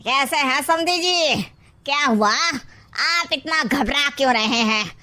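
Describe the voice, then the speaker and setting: A woman voicing a genial middle-aged bandit father in a cartoon, hearty and deliberate. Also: high voice, expressive, pushed and bright, exaggerated voice. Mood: jovial.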